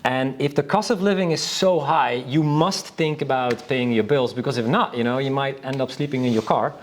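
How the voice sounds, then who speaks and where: Man, from Northern Europe, panelist, mid-range pitch, informal talk, enthusiastic, rambling delivery. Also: normal speed. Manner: rambling, enthusiastic, frequently restarting sentences.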